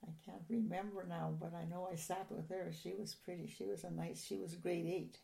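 An older woman from a Ukrainian-speaking home, reminiscing in an oral history interview in a soft, halting, rambling way. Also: lowish voice, fairly even intonation, gravelly.

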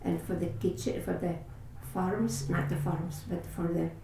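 An older woman with a Latvian accent, her first language, telling her life story in an oral history interview. She speaks haltingly, searching for words and restarting often. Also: lowish voice, steady tone, gravelly, mellow. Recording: roomy echo, distant mic, faint hiss.

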